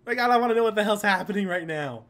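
High-voiced man doing casual game commentary. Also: lively intonation, rapid-fire, fairly bright tone, a little hiss or hum. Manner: rambling, exclamatory, mild swearing. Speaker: gaming video host.

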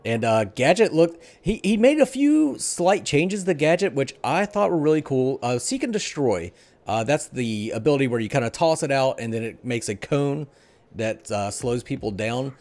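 A man's voice: mid-range voice, very animated, normal speed, darkish tone. A middle-aged man hosting a casual discussion of gaming news, speaking into a microphone at home on a video call. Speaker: podcast host. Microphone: headset and desk microphone.

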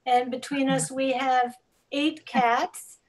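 Lady with a high voice, casually introducing herself on a group call. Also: little pitch movement, slow, rough recording. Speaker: class participant.

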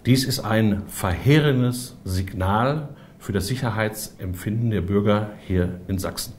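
A man's voice: on the low side, a little hiss or hum. A man delivering a prepared political statement in a formal, stiff and emphatic manner. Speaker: politician, party spokesman.

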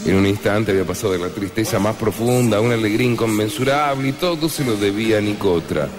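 Man as mock-serious storyteller, scripted narration, fairly low voice, radio romance serial, clear music bed. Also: fast talker, lightly acted. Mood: solemn.

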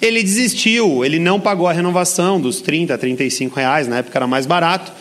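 A middle-aged Brazilian man speaking at a business seminar in a large hall, energetic and persuasive, talking straight to the audience. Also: fairly high pitch, fast talker. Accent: Brazilian Portuguese. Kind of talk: motivational seminar talk.

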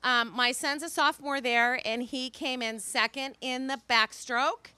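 Middle-aged woman, bright high voice, bubbly and loud, handheld mic at a club dinner. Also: steady tone, unhurried, clear, resonant tone, no room sound. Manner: bubbly, cheering and whooping. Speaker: club member speaking at a dinner meeting. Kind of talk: casual speech to a club audience. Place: outdoor restaurant patio at night.

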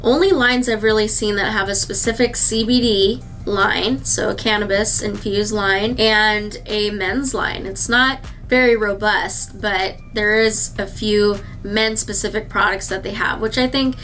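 A woman's voice: medium pitch, fairly even intonation, a little slow, few pauses, a bit bright, faint music behind. A woman in her thirties, a licensed esthetician, chatting to camera in a skincare review, a little nasal from a cold and speaking directly to her viewers. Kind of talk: casual product review to camera. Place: indoor skincare treatment room.

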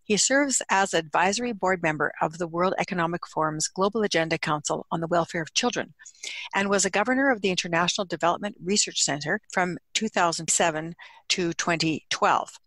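A woman's voice: fairly low pitch, brisk, a bit bright, dry recording. A woman hosting a leadership podcast, introducing her guest admiringly in a conversational way. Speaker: podcast host.

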